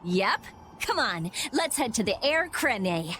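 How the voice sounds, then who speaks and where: An actress voicing a brainy, blunt teenage girl mage in a video game, with a brisk, matter-of-fact, snappy delivery. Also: mid-range voice, big pitch swings, a bit bright, slight background noise. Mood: confident. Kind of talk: animated game voice acting.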